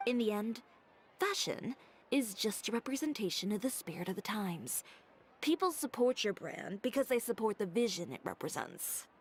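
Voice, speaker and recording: A woman's voice: medium pitch, big pitch swings, normal speed, slight background noise, close to an everyday voice. A woman voicing a confident, blunt young female fashion designer with a composed, dry manner, in an anime-style video game. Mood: assured.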